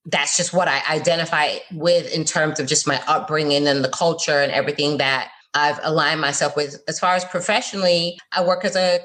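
A woman in her forties from South Florida, a college professor being interviewed on a podcast, who talks in a lively, earnest way and laughs easily. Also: sits low, brisk, few pauses. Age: 40s.

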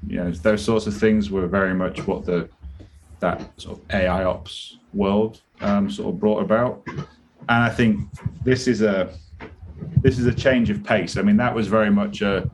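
A man's voice: fairly low pitch, steady tone, moderate pace, slight background noise, rough recording. A British man taking part as a guest in an interview, explaining his views in a conversational way and thinking aloud with small restarts. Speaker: guest, product director.

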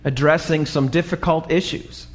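A male pastor with an American accent and a slightly raspy voice, preaching a sermon and often checking in with short questions to the congregation. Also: on the high side, steady tone, normal speed. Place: church, preaching live. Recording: live church recording, long hall reverb.

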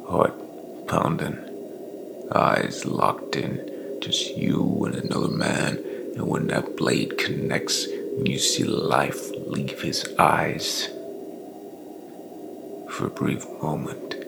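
An actor playing a grim, remorseless bounty hunter and war veteran in a dark fantasy audio drama, confessing in a breathy, half-whispered rasp with a brooding, folksy drawl. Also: male voice, a bass voice, steady tone, takes it slowly, pauses often, very noisy, exaggerated voice. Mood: cold, menacing.

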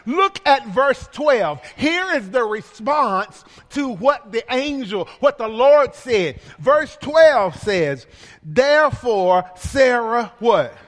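High-voiced, slow-preaching male pastor. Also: sing-song, big-room sound. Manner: playful, repetitive, call-and-response preaching. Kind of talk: sermon.

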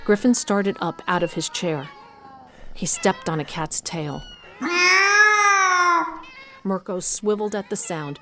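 A woman's voice: lowish voice, takes it slowly, long pauses, a little hiss or hum. A woman narrator reading a scripted audio drama story.

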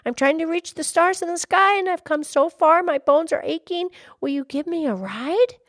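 An earnest storybook little girl, acted out by a woman, very high and lively in pitch. She sounds determined, eager and pleading. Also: moderate pace, dry recording, clean studio sound. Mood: weary pleading.

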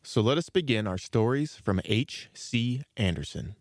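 A man hosting a children's story podcast, reading a scripted introduction in a measured, presentational way. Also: medium pitch, lively intonation, dry recording, studio quality, soft background music. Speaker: podcast host.

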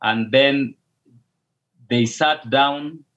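A Kenyan pastor talks in a slow, deliberate way, often pausing and restarting. The sound is dull and lo-fi. It comes over a video call. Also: male voice, mid-range voice, fairly bright tone. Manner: slow, measured, with hesitations and restarts. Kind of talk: casual video call interview.

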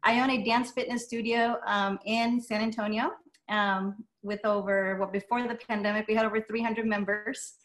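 A woman's voice: mid-range voice, level tone, moderate pace, a bit bright. Woman guest speaker, middle-aged, first language Spanish, casual, hesitant storytelling. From Las Vegas, USA. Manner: casual, many ums, light laughs. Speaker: guest speaker, dance studio owner. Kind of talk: personal story talk.

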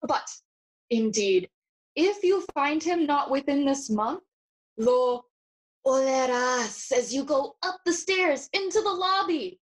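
A woman playing a sardonic, riddling young prince in classical audio drama, with a deliberate, taunting delivery. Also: high voice, expressive, low-quality recording. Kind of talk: classical theatre acting in audio play.

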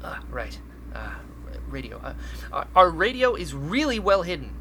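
An actor playing a nervous, earnest young male rebel radio host in a sci-fi radio drama, halting and flustered. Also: mid-range voice, very animated, sharp tone, slight background noise. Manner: halting and flustered, stammering, turning solemn in speeches.

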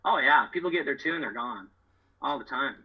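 A middle-aged American man with a husky voice, telling stories as a podcast guest in casual, slangy, emphatic, boastful talk. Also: medium pitch, animated, brisk, muffled recording. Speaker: podcast guest, door-to-door solar salesman. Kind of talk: casual, animated storytelling.

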